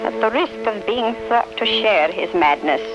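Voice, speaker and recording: Female grave, earnest narrator, measured vintage radio drama delivery, dull sound over loud music. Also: a little high, expressive, creaky. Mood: solemn. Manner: measured, solemn. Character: earnest, solemn friend narrating a tragic tale.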